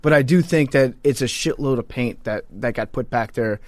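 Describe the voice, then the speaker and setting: A man's voice: mid-range voice, moderate pace, no room sound. A man co-hosting a sports podcast in casual, profane banter, telling stories informally. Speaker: podcast co-host.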